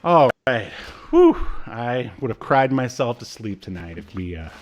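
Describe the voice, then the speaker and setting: A man in his thirties co-hosting a podcast in casual chat, with a jokey, halting delivery. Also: mid-range voice, sing-song, soft background music. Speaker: podcast co-host. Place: small room at home, on a video call.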